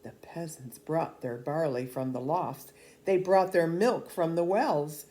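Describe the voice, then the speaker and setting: A woman's voice: deep voice, takes it slowly, warm tone, slight background noise. A woman reading a children's storybook aloud as storyteller, expressively and with dramatic emphasis.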